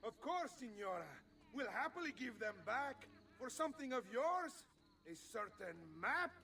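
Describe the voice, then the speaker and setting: Bright, high Italian villain. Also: male voice, very animated, normal speed, full character voice. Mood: mocking menace. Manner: mock-courteous, menacing. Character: sly, cruel Italian mercenary brother, greedy villain. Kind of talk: video game villain acting.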